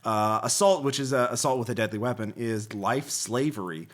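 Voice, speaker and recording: A man's voice: medium pitch, moderate pace. Rambling, jokey male podcast host. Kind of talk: casual chat.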